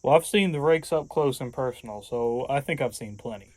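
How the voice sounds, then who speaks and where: Man acting, audio drama, younger reluctant hero, sardonic, skeptical, mid-pitched, dry recording. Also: brisk, darkish tone, no echo, lightly acted, low-quality recording. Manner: sardonic, wary.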